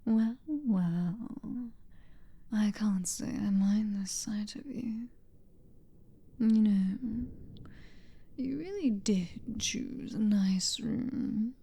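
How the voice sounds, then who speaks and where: Girl doing a british vampire mistress for asmr, breathy whisper, super slow, cruel n possessive. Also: medium pitch, lively intonation, dark tone, heavily acted. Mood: pleased, teasing. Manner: slow, drawn-out, taunting endearments. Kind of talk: ASMR roleplay, whispered close to the listener.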